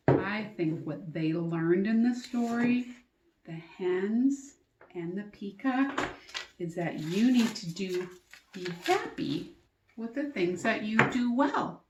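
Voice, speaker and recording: A woman teacher reading a storybook aloud to young children, expressively, pausing to ask questions and explain things simply. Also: mid-range voice, noisy background. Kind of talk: interactive storybook read-aloud and lesson. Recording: echoey, roomy sound.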